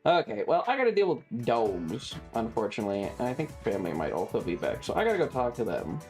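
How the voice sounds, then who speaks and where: A livestreamer chatting casually while gaming, rambling and halting, with a wry, sarcastic manner. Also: mid-range voice, sing-song, a little mellow. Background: music.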